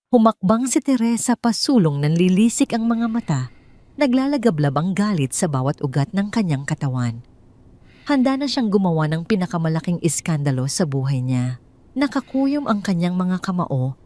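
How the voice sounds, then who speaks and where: A woman with a Filipino accent reading a scripted dramatic story in measured phrases as a storyteller. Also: on the low side, dark tone.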